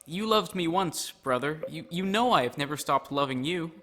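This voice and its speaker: A man's voice: fairly high pitch, animated, moderate pace, fairly bright tone, a little hiss or hum. A man playing a wronged captive prince in a fantasy radio play, earnest, impassioned and dramatic.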